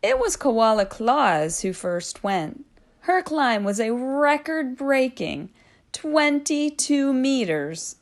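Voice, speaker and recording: A woman reading a children's storybook aloud expressively, drawing out phrases with dramatic hushes and swells. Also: a little high. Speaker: storybook reader.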